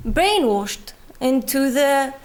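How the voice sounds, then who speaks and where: A woman's voice: high voice, lively intonation, slow. A young woman in her twenties from the West Bank, with a Palestinian British accent, giving an earnest personal testimony as a guest speaker at a university talk. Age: 20s. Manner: earnest, pleading with the audience. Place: university lecture hall.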